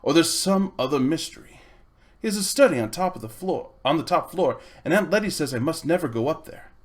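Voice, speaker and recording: A man reading a storybook, voicing a miserable, indignant young boy who blurts out his troubles in plaintive rushes. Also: a little high, expressive, close to an everyday voice. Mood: secretive. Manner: wound-up, plaintive, talks in rushes.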